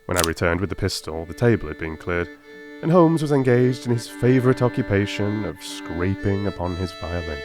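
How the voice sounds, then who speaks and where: A man narrating an audiobook in a dramatic, measured, literary storytelling manner. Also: low-pitched, animated, over music.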